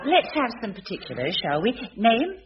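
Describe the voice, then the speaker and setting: A prim agency clerk, polite and brisk but dryly exasperated, her voice lively and a little high. The old broadcast is muffled and hissy. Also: over a noisy crowd. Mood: businesslike. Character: prim, businesslike female marriage-agency clerk. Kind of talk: 1980s radio sitcom acting.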